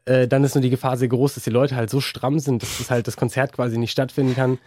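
A man's voice: mid-range voice, level tone, fast talker, mellow, dry recording, studio quality. A young man, a musician, chatting as a guest in an interview, hesitant and full of fillers and restarts. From Germany. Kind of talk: casual interview chat.

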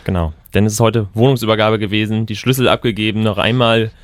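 A man's voice: fairly low pitch, fairly even intonation, fast, no room sound. Young man, wry podcast co-host. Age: young adult. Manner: dry, wry interjections. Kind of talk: casual radio podcast chat.

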